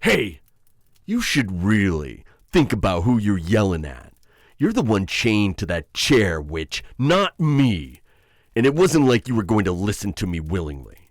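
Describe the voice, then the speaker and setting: Man playing a deep-voiced, menacing witch hunter. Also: sing-song, no echo, studio quality. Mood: angry. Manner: slow, halting, intimate taunting address to listener. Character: menacing male witch hunter, taunting his chained captive, conflicted. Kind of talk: ASMR roleplay acting.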